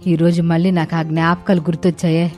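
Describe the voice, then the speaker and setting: A woman's voice: fairly low pitch, little pitch movement, brisk, mellow, lightly acted. Lady doing a grumbly middle aged mother in law, sentimental and dramatic, cartoon story with faint music. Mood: wistful, sad. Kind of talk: animated moral-story dialogue.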